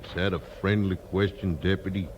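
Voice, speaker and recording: An actor playing a wary, menacing mountain patriarch with a rural American accent and a terse, dry delivery. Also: male voice, low-pitched, fairly even intonation, normal speed, dull audio, background noise, natural voice. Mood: wary, threatening. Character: wary, menacing male mountain clan leader, a father. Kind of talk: 1950s western radio acting.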